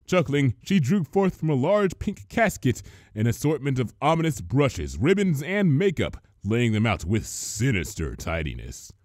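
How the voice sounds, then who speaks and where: A man narrates a scripted story with theatrical flair. His medium-pitched voice rises and falls widely from phrase to phrase. The recording is tight and dry, without room sound. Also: sing-song, measured pace, few pauses, a little mellow, no echo. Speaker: fan fiction reader, narrator.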